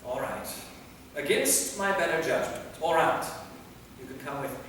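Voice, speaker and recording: A man's voice: a little high, normal speed, fairly bright tone, natural voice. A man playing an exasperated, cautious vampire in a staged play reading, speaking in a curt, weary, sceptical manner. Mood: grudging. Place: theater hall, recorded from a distance. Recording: very echoey hall, far mic, faint noise.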